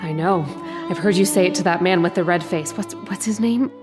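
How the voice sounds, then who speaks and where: Lady doing a spooky dream sweetheart, lowish voice, tender n pushy, breathless old radio melodrama, violin music behind. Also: a little fast, natural voice. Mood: knowing, intimate. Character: dreamlike woman lover, tender, insistent, eerily knowing. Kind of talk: old-time radio horror acting.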